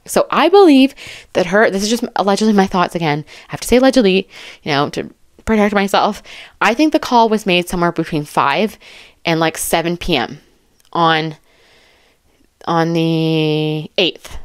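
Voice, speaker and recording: Animated woman in casual, dry close commentary. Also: on the low side, moderate pace, clear, resonant tone, no echo. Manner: animated, emphatic, informal, rambling. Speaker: true crime commentary YouTuber. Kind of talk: casual, chatty commentary to camera.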